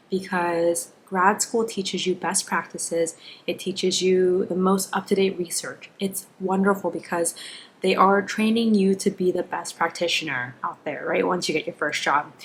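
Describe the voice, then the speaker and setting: Young woman, Korean and English bilingual, mid-range voice, informal candid advice vlog, self-deprecating, faint background noise. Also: mid-range voice. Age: young adult. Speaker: school psychologist, video creator.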